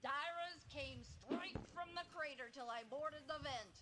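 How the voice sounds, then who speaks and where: Rambling eccentric woman, garbled talk, high voice, noisy distant game audio. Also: measured pace. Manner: garbled, hard-to-follow delivery. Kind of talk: game cutscene voice acting.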